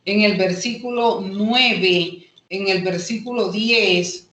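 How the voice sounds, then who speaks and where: A woman with a Colombian Caribbean coast accent teaching an online Bible lesson, explaining haltingly and repeating phrases as she goes. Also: mid-range voice, fairly even intonation, a bit bright. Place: indoor room, online class. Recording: echoey room, online call audio.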